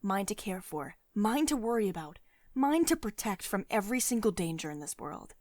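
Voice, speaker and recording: A woman's voice: mid-range voice, sing-song, normal speed, darkish tone, dry recording, natural voice. A woman acting a devoted lady CEO, close and dry. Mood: agitated, protective. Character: commanding, protective female tech CEO, secretly devoted and tender. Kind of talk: ASMR girlfriend roleplay, intimate acted monologue.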